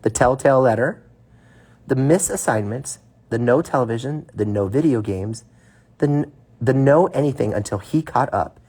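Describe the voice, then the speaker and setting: A man's voice: medium pitch, moderate pace, a little mellow, slightly rough. A man reading a children's story aloud, with informal, playful asides to a young listener.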